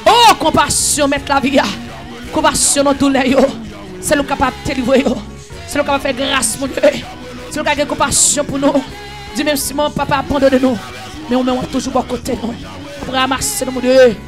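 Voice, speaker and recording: A man leading an impassioned prayer, pleading and repeating phrases, half singing and at times shouting. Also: very high-pitched, flat delivery, fairly quick, music in the background. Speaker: prayer leader. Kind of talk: fervent chanted prayer.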